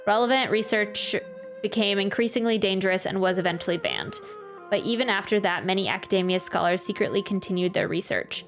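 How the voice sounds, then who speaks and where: A woman streaming a game playthrough, reading text aloud and reacting with casual commentary. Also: medium pitch. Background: faint game music.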